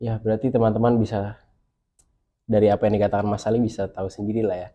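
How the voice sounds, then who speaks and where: Young guy hosting a podcast, friendly, mellow voice, easygoing questions. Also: on the low side, level tone, quick pace. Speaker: podcast host. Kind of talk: podcast interview.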